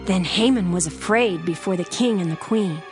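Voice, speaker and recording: A woman narrating a dramatized scripture reading in a measured, formal way. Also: on the low side, darkish tone, soft background music.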